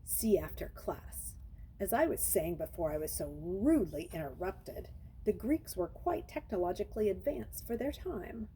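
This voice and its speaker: A woman's voice: lowish voice, sing-song, normal speed, mellow, a little hiss or hum, low-quality recording. A woman voicing a stern male schoolteacher in a storybook, curt, sarcastic and commanding. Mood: mocking. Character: stern, mocking male schoolteacher lecturing his class.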